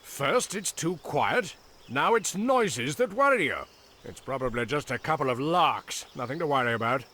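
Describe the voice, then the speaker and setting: A man playing a cautious, wise old grandfather in a video game, speaking in a measured and expressive way. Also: medium pitch. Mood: dismissive. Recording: insects and ambient noise behind.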